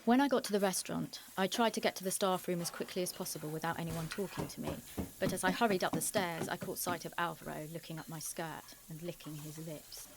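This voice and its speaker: A woman's voice: on the low side, quick pace, background noise, lightly acted. An actress playing an anxious, frank young woman who narrates her own story in an intimate, wry, confessional way and acts out her dialogue. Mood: strained narration. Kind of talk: confessional first-person narration with acted dialogue.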